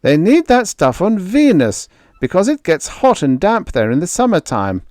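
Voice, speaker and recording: A man reading an audiobook, voicing a big, hearty spaceman in his forties with a booming, blunt, slangy way of talking. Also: wildly swooping pitch, normal speed, a little mellow, dry recording, studio quality. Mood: matter-of-fact. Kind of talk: audiobook character voice.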